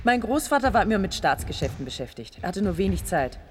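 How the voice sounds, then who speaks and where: A woman's voice: mid-range voice, sing-song, quick pace, a little hiss or hum, lightly acted. An actress playing a modest, matter-of-fact young woman in a German radio play, with a plain, reflective delivery. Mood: sober, modest. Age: young adult.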